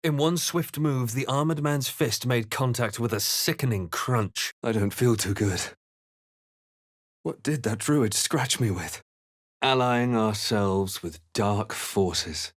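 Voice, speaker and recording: A man playing a composed, wry adventurer hero in a video game, telling events in a measured, literary way. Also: medium pitch, no room sound, studio quality. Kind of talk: scripted video game narration and battle lines.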